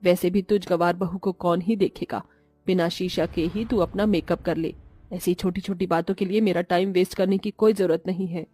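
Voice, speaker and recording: A woman voicing a snobbish, sharp-tongued middle-aged mother-in-law in a cartoon story, with a sarcastic, scolding manner. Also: lowish voice, steady tone, normal speed, faint music behind, lightly acted. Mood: scornful, bossy. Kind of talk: Hindi cartoon moral-story voice acting.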